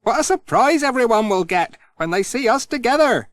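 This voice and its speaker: A storyteller voicing a cheerful, busy village postman who talks brightly and eagerly. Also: mid-range voice, lively intonation, moderate pace, no echo, clean studio sound, pitched above the performer's own voice, lightly acted. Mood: rueful. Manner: bright, sing-song and eager. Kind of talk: storybook read aloud.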